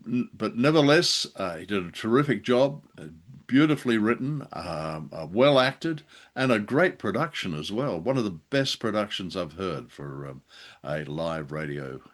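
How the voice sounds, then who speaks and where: A man co-hosting a review show, talking conversationally and critically in a measured, hesitant, polite way. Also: on the low side, expressive. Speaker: podcast co-host reviewing old radio drama.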